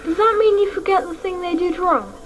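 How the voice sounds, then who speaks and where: High-voiced, persistent young boy. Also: a little fast, fairly bright tone, faint music behind. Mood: earnest, insistent. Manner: insistent, probing questions. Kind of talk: radio drama acting.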